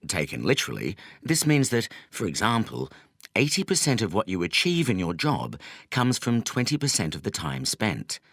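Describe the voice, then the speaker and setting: A man reading a nonfiction audiobook as a scripted narrator, in measured, deliberate phrases with emphatic stresses. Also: on the low side, very animated, fairly bright tone, no room sound.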